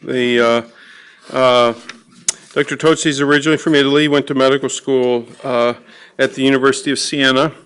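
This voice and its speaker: A man introduces a speaker at grand rounds. His voice is smooth and clear, his pitch stays flat and even, and he stops often for "uh". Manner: halting, many fillers. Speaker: faculty host introducing the speaker. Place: lecture hall.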